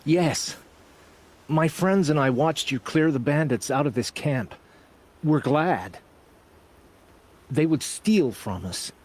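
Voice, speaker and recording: Humble, slow-speaking outcast tribesman. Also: a little high. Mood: earnest, ashamed. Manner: earnest, halting, solemn. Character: humble, remorseful outcast tribesman seeking shelter. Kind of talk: video game character acting.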